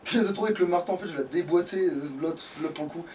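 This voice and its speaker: Fast-talking, higher-pitched man gaming. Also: a little mellow. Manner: sweary, informal, rambling. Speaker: let's play gamer, playing. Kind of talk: casual gaming chat. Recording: distant mic, faint background noise.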